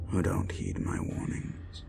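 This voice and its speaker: A man playing a cruel, menacing slasher killer in a horror audio roleplay, taunting the listener with a gravelly voice and drawn-out lines that often sink into whispers. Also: background noise, lightly acted. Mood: menacing, gloating. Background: music.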